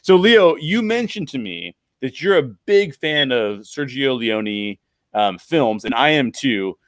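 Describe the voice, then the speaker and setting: Middle-aged American podcast host, dry close sound. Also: male voice, medium pitch, expressive, takes it slowly, a bit bright, dry recording. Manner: enthusiastic, informal. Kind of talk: conversational podcast introduction and film discussion. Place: bedroom at home. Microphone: close podcast microphone with headphones.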